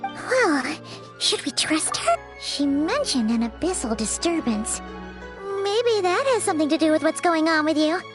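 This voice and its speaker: A woman voicing a tiny, chatty, excitable female companion sprite in a game cutscene, talking about herself in third person. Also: high-pitched, unhurried. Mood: worried. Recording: game cutscene with music underneath.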